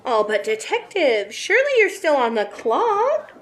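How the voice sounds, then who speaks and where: An actress playing a playful, flirty 1950s barmaid in a noir radio drama, teasing and chirpy. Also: female voice, high-pitched, wildly swooping pitch, measured pace, exaggerated voice.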